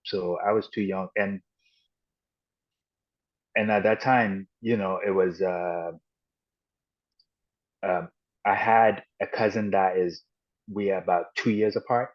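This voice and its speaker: Podcast guest, man, low voice, halting reflective storyteller, muffled lo-fi sound. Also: steady tone. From Ghana and the United States. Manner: halting and reflective, with frequent restarts and "you know". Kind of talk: personal storytelling in an interview. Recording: dull lo-fi audio.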